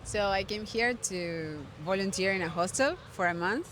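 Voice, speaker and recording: A woman's voice: medium pitch, expressive, normal speed, fairly bright tone, low-quality recording. A young woman from Uruguay chats casually in an outdoor interview. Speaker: interviewee, hostel volunteer. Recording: outdoor street noise, faint traffic.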